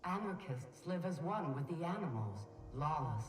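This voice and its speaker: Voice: medium pitch, big pitch swings, normal speed, slight background noise, natural voice. Roomy, distant official news announcer, acted out. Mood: stern, official. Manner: formal, authoritative announcer delivery. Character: official in-game radio news announcer delivering corporate propaganda. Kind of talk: scripted broadcast news announcement. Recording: roomy, distant game audio under music.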